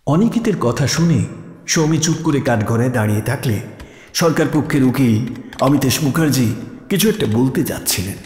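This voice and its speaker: A man's voice: mid-range voice, expressive, fairly quick, darkish tone. A man narrating a scripted suspense story as the narrator of an audio drama. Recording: echoey, long reverb.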